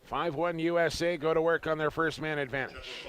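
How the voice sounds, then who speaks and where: A man calling lacrosse play-by-play in rapid, jokey bursts. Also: a little high, fairly even intonation, fairly bright tone. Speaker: play-by-play commentator. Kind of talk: sports commentary.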